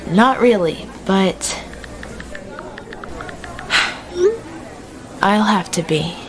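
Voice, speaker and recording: An actress in an amateur radio drama playing a tired, stressed young student, subdued in manner. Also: female voice, mid-range voice, very animated, very slow, noisy background.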